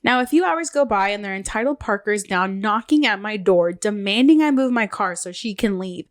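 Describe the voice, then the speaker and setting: A woman's voice: medium pitch, expressive, moderate pace. A woman co-hosting a podcast, casually reading revenge stories aloud and laughing often, sounding nasal from a cold. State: nasal, getting sick. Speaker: podcast co-host.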